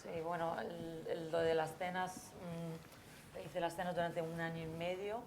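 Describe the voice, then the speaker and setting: Deep-voiced hesitant woman chef. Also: level tone, measured pace. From Abu Dhabi, of Palestinian-Syrian descent. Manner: hesitant, many fillers and restarts. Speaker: chef, restaurant owner. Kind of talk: casual panel conversation. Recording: room with clear background noise.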